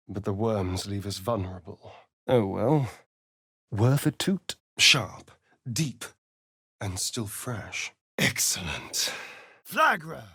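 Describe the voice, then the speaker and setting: A man's voice: on the low side, fairly bright tone, slightly rough, dry recording, clean studio sound, exaggerated voice. An actor voicing a sly, vain, sardonic male vampire spawn in a video game, with an arch, theatrical drawl. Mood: wary, pensive, then arch, teasing, then sharp alarm.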